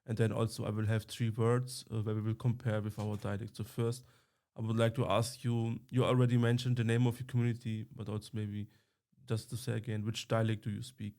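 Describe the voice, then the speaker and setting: A man hosting a casual interview, asking questions in simple, halting English. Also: fairly low pitch, level tone, a little mellow. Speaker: podcast host, interviewer.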